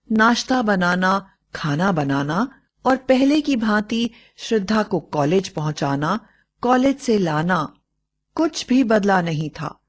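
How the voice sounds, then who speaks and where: A woman narrates a scripted bedtime story. Her pace is very unhurried and her pitch sits in the middle range. She delivers it as a storyteller telling a moral tale.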